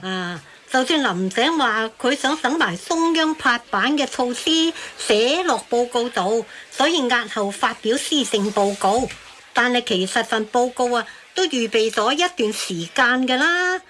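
A woman's voice: a little high, lively intonation, unhurried, a bit bright, a little hiss or hum. A woman playing a puzzled, fussy everyday character who questions and exclaims in a radio drama. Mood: puzzled, questioning.

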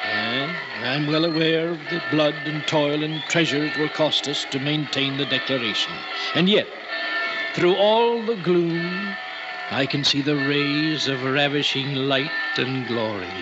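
A man's voice: a little high, a little slow, heavy background noise, lightly acted. An actor playing a solemn, visionary American statesman, speaking in grand declamatory 1940s radio drama style. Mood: fervent. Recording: dull old radio recording, loud music.

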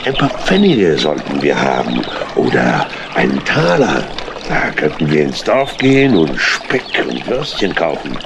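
A hearty old rogue of a tramp in a children's audio play. He is boastful and chummy, talks in slow, rolling phrases and swoops up and down with great animation. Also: extremely low pitch, wildly swooping pitch, background noise, full character voice. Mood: wheedling. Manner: hearty, folksy, sprinkled with chuckles and exclamations. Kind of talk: German children's audio drama acting.

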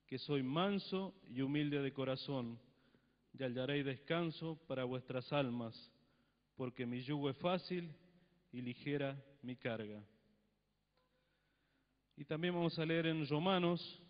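A middle-aged man from Argentina, a pastor, reading scripture and preaching into a handheld microphone in a church hall, with solemn emphasis on the readings. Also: fairly high pitch. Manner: reads scripture with solemn emphasis, then talks plainly with the congregation. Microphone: handheld mic. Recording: long church reverb, dull lo-fi broadcast sound.

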